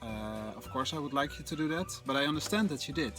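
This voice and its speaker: A young man from the Netherlands with a Dutch accent, chatting calmly to camera in English, a little hesitant, outdoors on a patio. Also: mid-range voice, fast talker, music in the background. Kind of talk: casual chat to camera. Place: outdoor patio by a wooden fence.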